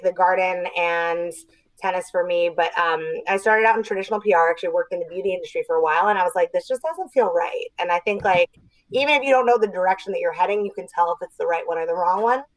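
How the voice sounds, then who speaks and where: A young woman from New Jersey chats as a podcast guest, upbeat and talkative, slipping into little acted-out quotes. Her voice sits a bit low for a woman. The sound is dry and close, with no room around it. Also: no room sound, rough recording. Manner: chatty and upbeat, acts out quotes. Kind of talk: casual chat.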